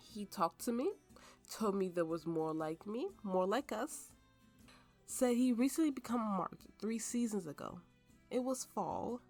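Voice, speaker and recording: A woman playing a tipsy, haunted woman with magical powers, telling her story slowly and haltingly in an intimate ASMR roleplay over music. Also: fairly high pitch. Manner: halting, drunken rambling with frequent laughs. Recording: voice over soft background music.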